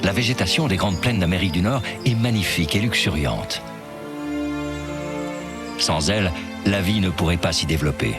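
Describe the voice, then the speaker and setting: Male narrator, low voice, scripted wildlife documentary read over very loud music. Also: animated, normal speed, long pauses.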